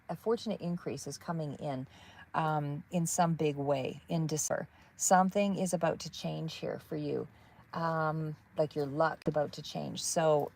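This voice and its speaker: Calm woman giving a tarot reading. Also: deep voice. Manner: calm, soothing, meandering with fillers. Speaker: tarot reader.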